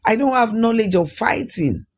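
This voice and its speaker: A man's voice: very high-pitched, expressive, moderate pace, pitched above the performer's own voice, full character voice. A man voicing a worried, questioning young man facing war in a folktale. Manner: anxious, questioning.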